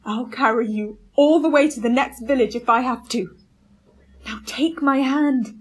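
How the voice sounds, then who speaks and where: A woman playing a starving, resolute male expedition captain in a radio play, reading out a journal in a clipped, solemn, halting way. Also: a little high, husky. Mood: commanding, urgent.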